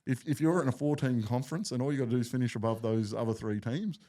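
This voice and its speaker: A man's voice: mid-range voice, fast talker, warm tone. A man, co-hosting a casual sports podcast and arguing his view steadily. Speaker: podcast co-host.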